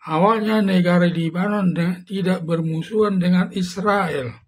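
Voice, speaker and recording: An older man reading out a commentary in a halting way. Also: high-pitched, slightly rough. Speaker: legal commentator. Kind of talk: scripted commentary, read aloud.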